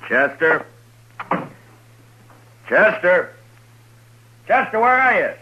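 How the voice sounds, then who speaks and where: An actor playing a stern, persistent American frontier marshal in a 1950s radio western, firm and probing. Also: male voice, high voice, sing-song, drawn-out words, full character voice. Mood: impatient. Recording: dull, noisy old radio recording.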